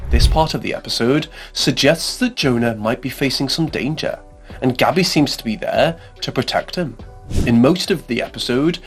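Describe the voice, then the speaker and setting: A man reading a scripted explainer narration in a measured, formal way. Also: mid-range voice. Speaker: narrator of video essays. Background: background music under voice-over.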